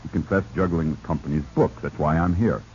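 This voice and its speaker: A man's voice: a bass voice, fairly quick, a little mellow. An actor playing a blunt, plain-spoken male investigator in a 1940s radio drama. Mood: puzzled, insistent. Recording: muffled, noisy old radio recording.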